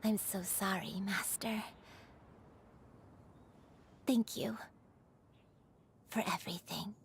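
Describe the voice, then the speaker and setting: A woman's voice: mid-range voice, takes it slowly, creaky, a little hiss or hum. A woman voicing a loving old grandmother, a crane spirit in human form, in a tender, soothing game performance. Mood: apologetic, grateful. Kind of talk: video game voice acting.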